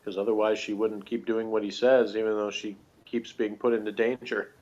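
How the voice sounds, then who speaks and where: Male reviewer, low register, informal and rambling discussion, dull lo-fi recording. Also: level tone, normal speed, darkish tone. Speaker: TV episode reviewer. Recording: dull, lo-fi sound.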